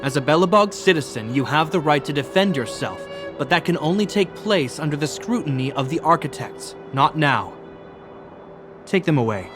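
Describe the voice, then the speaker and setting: A man voicing a solemn, dutiful guard captain in a video game, formal, measured and authoritative. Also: a little high, lively intonation, natural voice. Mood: stern. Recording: game music behind the voice. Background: orchestral violin music.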